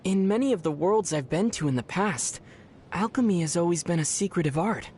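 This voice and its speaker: A man's voice: sits high, animated, fairly quick, a little mellow, a little hiss or hum. A man voicing a young, curious, mild traveler from another world in a video game, musing and understated, with music behind. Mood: puzzled, dry. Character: young male otherworldly traveler, curious, mild, dryly puzzled. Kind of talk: video game character dialogue.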